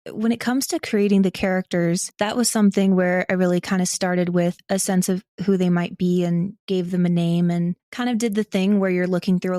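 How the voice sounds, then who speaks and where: Young woman, American accent, lower pitch, dry close sound, casual interview talk, reflective, rambling. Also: warm tone, dry recording. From small town in Ohio, United States. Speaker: podcast guest, audio drama writer and voice actor.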